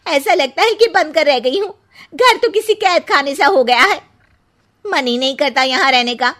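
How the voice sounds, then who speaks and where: A woman's voice: high voice, animated, normal speed, sharp tone. A woman playing a sarcastic, aggrieved young daughter-in-law in a cartoon story, with a complaining manner. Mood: fed up, angry.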